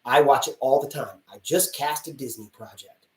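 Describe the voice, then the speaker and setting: A man's voice: medium pitch, moderate pace. Roomy, blunt casting director man. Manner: blunt, chatty, acts out little dialogues. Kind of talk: live-stream Q&A advice to actors. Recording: roomy sound from a distance.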